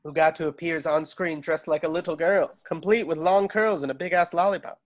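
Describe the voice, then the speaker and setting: Male narrator, slightly high register, reads scene directions for an online script read. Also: fairly even intonation, a little fast. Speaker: narrator reading stage directions. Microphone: video call.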